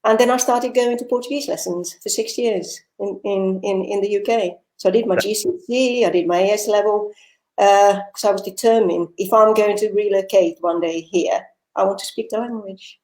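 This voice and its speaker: A woman's voice: medium pitch, fairly even intonation, moderate pace, a little mellow, roomy sound, low-quality recording. An older woman born in Finland, a retired teacher, chatting as a guest in an interview with a Finnish-tinged British accent, speaking articulately with frequent restarts. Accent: Finnish-tinged British English. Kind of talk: conversational interview.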